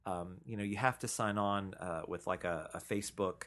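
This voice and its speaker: Low-voiced enthusiastic podcast man. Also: fairly even intonation, moderate pace, fairly bright tone. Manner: enthusiastic, rambling. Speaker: podcast co-host. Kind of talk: casual podcast chat.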